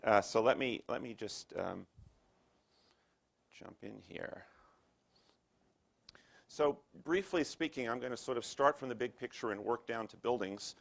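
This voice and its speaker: A man in his sixties with a Boston accent, giving a relaxed, conversational lecture to a live audience in a lecture room. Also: medium pitch, measured pace, creaky, long pauses. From United States, Boston area. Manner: relaxed, conversational, joking asides. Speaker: guest lecturer.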